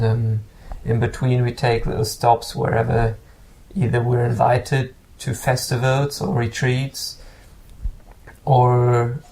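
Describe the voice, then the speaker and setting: A man, a guest musician who speaks haltingly and repeats words as he looks for them. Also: medium pitch, gravelly, dark tone, low-quality recording. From Germany. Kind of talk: casual interview talk.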